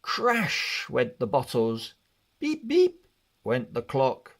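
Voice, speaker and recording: A man narrating a children's read-along storybook in an expressive, deliberate way. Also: medium pitch, creaky. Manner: expressive, deliberate, measured delivery.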